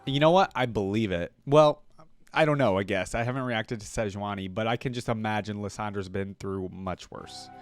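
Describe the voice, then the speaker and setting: A man's voice: lowish voice, normal speed, clear, resonant tone, dry recording, soft background music. Casual male reactor on a dry mic. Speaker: host of a game reaction video.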